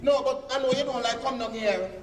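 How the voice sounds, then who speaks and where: An actor playing a proud, put-upon Jamaican street-vendor husband, pleading and protesting in a comic stage play. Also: male voice, very high voice, fairly quick. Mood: pleading, defensive. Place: on a theatre stage before an audience. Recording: echoey theatre hall, distant, noisy.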